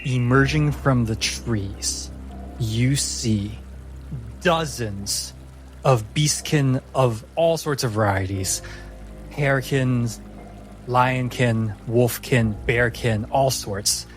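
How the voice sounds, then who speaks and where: A man narrates a tabletop fantasy game as its game master. He takes his time, phrasing with suspense and drama at a medium pitch, with soft music behind him. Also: slow.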